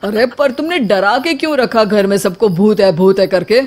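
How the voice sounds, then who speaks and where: A woman's voice: medium pitch, normal speed, darkish tone, natural voice. A woman playing an elder of a comic family in story dialogue. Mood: scolding. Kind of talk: slow story dialogue acting.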